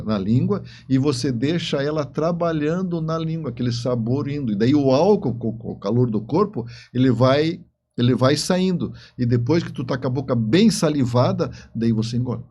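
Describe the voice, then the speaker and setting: Brazilian man, mellow voice, explaining casually. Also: mid-range voice, normal speed, husky. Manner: explanatory, with repeated words. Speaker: guest author. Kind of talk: casual podcast conversation.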